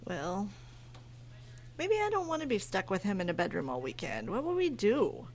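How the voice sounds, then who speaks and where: A disapproving, easily upset wife around thirty in a horror radio play. Her medium-pitched voice rises and falls sharply with frustration and reproach. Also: wildly swooping pitch, normal speed. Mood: reluctant, put off. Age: 30s. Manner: reproachful, emotional. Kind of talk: horror audio drama dialogue.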